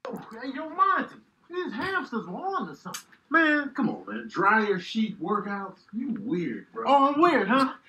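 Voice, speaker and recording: A man's voice: very high voice, wildly swooping pitch, moderate pace, pushed and bright, dull audio, slight background noise. A man doing comedic voice-over in a colloquial, exclamatory, mock-indignant way. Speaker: comedian doing animal voice-overs. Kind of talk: comedic voice-over of animal clips.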